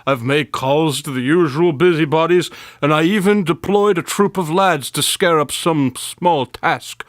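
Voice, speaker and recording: An actor playing a pompous, melodramatic, tipsy detective in a radio drama, wordy and theatrical. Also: male voice, fairly high pitch, measured pace, no room sound, clean studio sound, natural voice. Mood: boastful, tipsy. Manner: theatrical, wordy, self-important.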